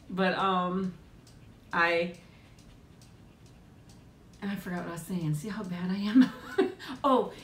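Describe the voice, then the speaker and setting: A woman in middle age hosting a casual live chat with viewers at home, rambling and laughing often, with frequent ums. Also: fairly bright tone. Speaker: host of a live chat and eating stream. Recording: echoey room with faint rumble.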